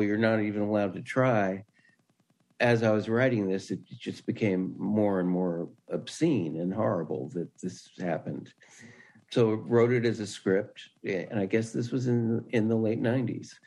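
A man's voice: fairly low pitch, fairly even intonation, moderate pace, a little mellow. An older man, a writer being interviewed, talking casually and anecdotally. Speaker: interview guest, screenwriter.

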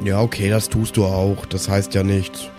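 A man's voice: low-pitched, flat delivery, moderate pace. A man playing a tabletop role-play game in casual, improvised in-character chat. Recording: background music under the voice.

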